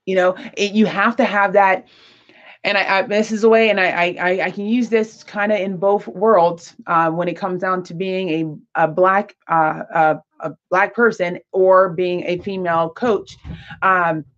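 A woman hosting an interview, speaking in a halting way with many restarts and fillers. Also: on the low side, steady tone, lo-fi sound. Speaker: podcast host. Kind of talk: casual interview chat.

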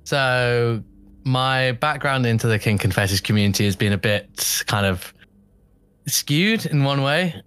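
A man's voice: medium pitch, expressive, measured pace, fairly bright tone, clear, resonant tone. A young man telling his story as a podcast guest in a casual, chatty way, often laughing and quoting himself.

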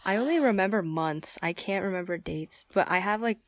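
A woman's voice: medium pitch, fairly quick, dry recording, studio quality. A teenage girl co-hosting a casual podcast with friends, talking in a chatty way with many fillers and restarts. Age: teens. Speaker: podcast co-host. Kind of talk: casual chat among friends.

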